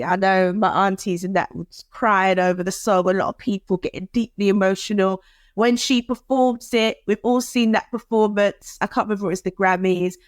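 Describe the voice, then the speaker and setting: A woman co-hosting a podcast in casual chat, animated and quick to laugh, with dramatic asides. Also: medium pitch, dry recording. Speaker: podcast co-host.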